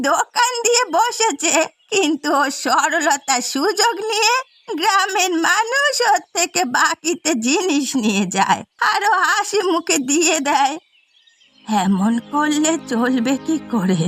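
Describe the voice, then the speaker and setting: A woman's voice: sits high, sing-song, a little slow, fairly bright tone, soft background music, exaggerated voice. A woman voicing an anxious, fretful village mother who exclaims to her friend in a fairy-tale cartoon. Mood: fretful concern.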